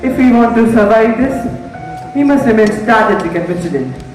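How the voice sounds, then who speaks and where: A young performer plays a composed leader steadying frightened survivors in a stage mystery. The delivery is measured and declamatory. The voice carries from far off through a hall with long, heavy reverb. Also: medium pitch, animated, darkish tone, faint music behind, close to an everyday voice. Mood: grave, deliberate. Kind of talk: amateur stage play acting. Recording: very echoey hall, recorded from far off.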